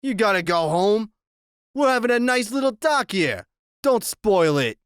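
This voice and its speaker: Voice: medium pitch, big pitch swings, measured pace, clear, resonant tone, no echo, clean studio sound, pitched above the performer's own voice. A reader voicing a menacing biker boss. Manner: menacing, quiet and bullying. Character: tough middle-aged male biker gang boss.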